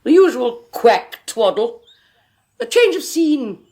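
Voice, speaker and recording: A woman's voice: fairly high pitch, sing-song, a little mellow, husky, exaggerated voice. An actress playing a despotic, domineering rich woman who mocks everyone around her in a theatrical, sneering way, in a 1980s radio horror drama. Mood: mocking. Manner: sneering, theatrical, drawn-out mockery. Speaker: Henrietta Forsythe.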